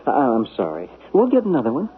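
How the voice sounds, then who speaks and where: A jittery, stammering buddy dodging the question, lively pitch, tinny radio. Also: male voice, fast talker. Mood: evasive. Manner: hesitant, stammering, laughs it off. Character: evasive, nervous male friend and agent. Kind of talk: 1970s radio drama acting. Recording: tinny old radio broadcast with faint hiss.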